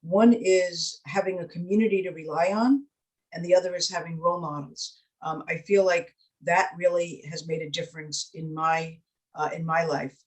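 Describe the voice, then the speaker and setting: A woman's voice: lowish voice, fairly even intonation, moderate pace, low-quality recording. New lady, fifties, chatty panel intro on a video call, lots of ums. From New York City, Puerto Rican heritage. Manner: warm, personal, with frequent "um". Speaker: university provost, panelist.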